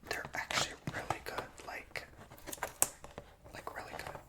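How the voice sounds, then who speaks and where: A man with a nasal voice, whispering an ASMR roleplay as a chatty, rambling school guidance counselor while getting over a cold. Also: a little slow. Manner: chatty, rambling, self-mocking. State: recovering from a cold.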